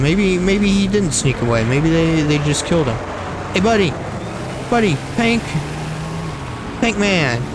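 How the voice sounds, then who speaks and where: A young man chatting non-stop while playing a shooter game, rambling, repeating and correcting himself, with a slight frog in his throat. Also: a little high. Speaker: gaming streamer. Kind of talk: casual gameplay commentary.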